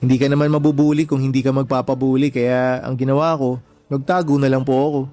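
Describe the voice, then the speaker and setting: A man's voice: medium pitch, level tone, fast, dark tone, lightly acted. A man playing a shy, humble and deferential young man in a radio drama. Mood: resigned, candid. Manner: polite and deferential. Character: humble, shy, self-effacing young layout artist born one-handed.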